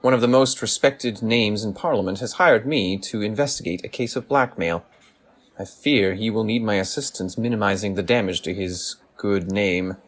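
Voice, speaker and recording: A man playing a shrewd, commanding gentleman detective in a radio play, formal, deliberate and probing. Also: lowish voice, natural voice. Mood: measured.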